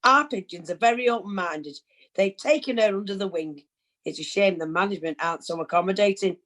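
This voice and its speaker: A woman's voice: mid-range voice, a little fast, a bit bright, natural voice. A woman playing a dry, sardonic studio manager who speaks in a wry, pointed way.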